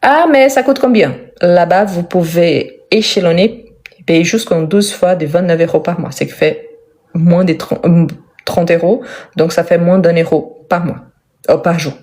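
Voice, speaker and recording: Low, mellow-voiced Brazilian-born woman teacher. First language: Portuguese. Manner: slow, careful, simple speech for learners; chatty, repeats words for emphasis. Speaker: French teacher. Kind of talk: live-stream French lesson.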